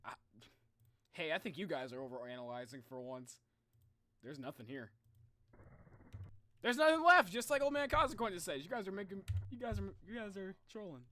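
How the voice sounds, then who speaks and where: A young man chatting casually to viewers on a livestream in an informal, animated way. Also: high-pitched, moderate pace, pauses often. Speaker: live streamer.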